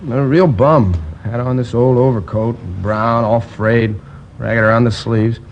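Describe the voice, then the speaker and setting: An actor playing a talkative, indignant liquor store manager recounting a robbery in a 1950s radio crime drama, rambling with hesitations. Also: male voice, mid-range voice, fairly even intonation, a little slow, slight background noise, natural voice. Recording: dull old radio transcription.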